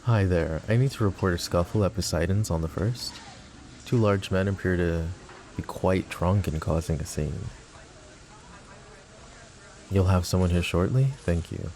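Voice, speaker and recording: A man playing a suave, possessive mafia boss in an intimate ASMR roleplay, with a smooth, teasing, mock-polite manner. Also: sits low, unhurried, pauses often, darkish tone, slight background noise. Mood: calm, composed.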